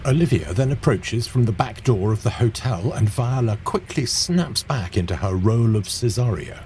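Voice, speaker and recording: A man's voice: mid-range voice, animated, moderate pace, darkish tone, a little hiss or hum, close to an everyday voice. A man narrating an audio play, setting scenes as a scripted storyteller.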